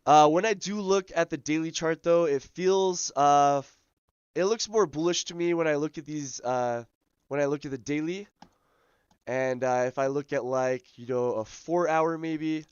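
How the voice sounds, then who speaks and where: A man's voice: a little high, unhurried, smooth, no echo, dull audio. Scratchy-voiced man on a trading stream. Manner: relaxed, filler-heavy, thinking aloud. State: scratchy, losing his voice. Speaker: day trading livestream host. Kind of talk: live market commentary.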